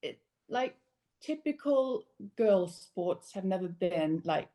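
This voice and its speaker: A young woman from Norway chats easily as a podcast guest, with a relaxed, unhurried way of talking. She laughs readily and often restarts a sentence before finishing her thought. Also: fairly low pitch. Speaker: guest, powerboat racer. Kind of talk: casual podcast interview.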